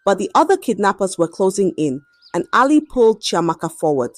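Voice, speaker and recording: A woman telling a dramatic scripted story in an expressive, measured and suspenseful way. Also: medium pitch, no echo, studio quality.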